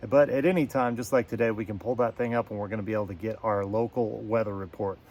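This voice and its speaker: A man's voice: lowish voice, fairly even intonation, fast talker, darkish tone. A man giving a casual product review to camera as host, with a folksy, slangy way of talking and playful catchphrases.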